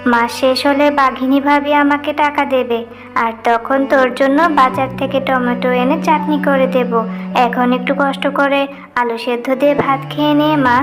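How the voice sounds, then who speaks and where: A woman's voice: high voice, steady tone, normal speed, music in the background, natural voice. A woman voicing a poor, hardworking animal mother in a cartoon, patient, humble and placating. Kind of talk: cartoon voice acting.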